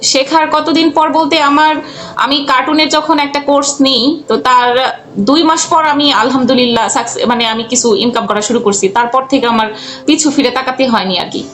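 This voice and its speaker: Young Bangladeshi woman, very high voice, conversational interview guest, faint music underneath. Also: fairly even intonation, fast talker, a bit bright. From Jamalpur, Bangladesh. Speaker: interview guest, freelance cartoonist.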